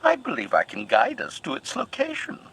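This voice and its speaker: A man's voice: on the high side, huge pitch swings, brisk, creaky, a bit bright, slightly rough, heavily acted, poor audio. A man voicing a loyal, formal assassin droid in a sci-fi game, announcing the intent of each statement with stiff precision. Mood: eager, devoted.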